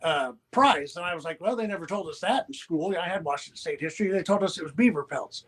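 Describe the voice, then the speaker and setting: A man with a high-pitched voice talks as a podcast guest. He rambles through stories with frequent restarts and fillers, in a blunt, folksy way. He grew up in the Pacific Northwest. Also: animated, fast, fairly bright tone. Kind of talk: rambling conversational storytelling.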